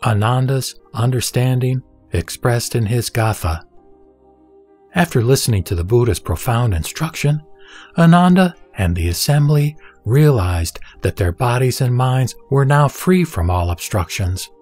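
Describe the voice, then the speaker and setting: Guy reading buddhist scripture, solemn and careful, normal pitch, music in the back. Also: animated, darkish tone. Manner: measured, deliberate, formal.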